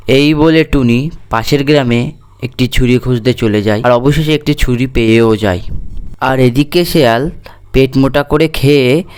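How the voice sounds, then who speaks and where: A man's voice: mid-range voice, normal speed, darkish tone, clear, resonant tone. A man narrating a scripted cartoon fairy tale as the storyteller.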